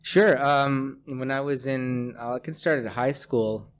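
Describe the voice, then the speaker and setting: A man from Canada, a scientist guest, telling his story in a relaxed way with many fillers. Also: mid-range voice, unhurried. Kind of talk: interview answers. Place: office room with a table. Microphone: desk mic on a boom arm.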